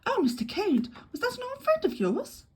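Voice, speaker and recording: A woman's voice: huge pitch swings, normal speed, darkish tone, exaggerated voice. A woman voicing a gushing, skittish young lady tourist. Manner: gushing, giggly, shrill. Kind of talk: audiobook character voice.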